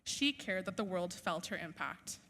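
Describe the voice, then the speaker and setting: A young woman whose first language is Urdu, delivering an earnest, measured graduation speech from a school stage. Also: on the low side, animated, sharp tone. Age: young adult. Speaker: valedictorian.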